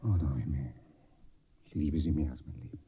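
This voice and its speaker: An actor playing a suave, scheming count who flatters and woos in ornate courtly phrases in a radio comedy. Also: male voice, a bass voice, normal speed, full character voice. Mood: amorous. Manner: courtly, smooth-talking, ornate. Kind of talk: German radio play comedy acting. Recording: dull, lo-fi old radio recording.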